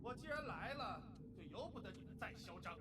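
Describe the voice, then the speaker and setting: An actor playing a brash, haughty young man in an animated fantasy drama. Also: sits high, a little fast. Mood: proud, defiant. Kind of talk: Chinese animation voice acting. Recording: quiet show audio under music.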